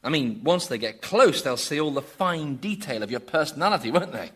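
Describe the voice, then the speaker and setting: A man's voice: on the high side, lively intonation, a little fast, fairly bright tone, slightly rough. An actor playing a cynical, guarded and scornful man in a radio comedy drama. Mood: guarded cynicism.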